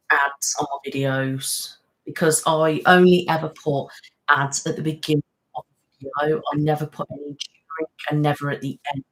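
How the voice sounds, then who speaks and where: A woman's voice: low voice, rough recording. Chatty British woman host. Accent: British English. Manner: chatty, rambling, many fillers and restarts. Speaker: ASMR channel host. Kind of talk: casual live-stream chat reading viewer comments.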